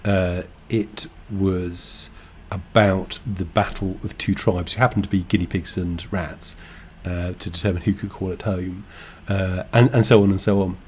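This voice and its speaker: An English man co-hosting a podcast, talking thoughtfully in halting, restarting sentences. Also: low-pitched, steady tone, darkish tone, a little hiss or hum. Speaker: podcast co-host, game designer. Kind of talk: casual chat.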